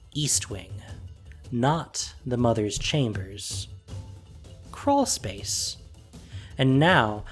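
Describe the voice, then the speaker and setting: A narrator reading a fantasy story in a measured, expressive storytelling voice. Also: medium pitch.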